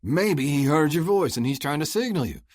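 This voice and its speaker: A man's voice: mid-range voice, normal speed, no echo, voice pushed up, natural voice. A man voicing a cool bounty hunter who goads and taunts his foe. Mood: taunting. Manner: taunting, goading, steady.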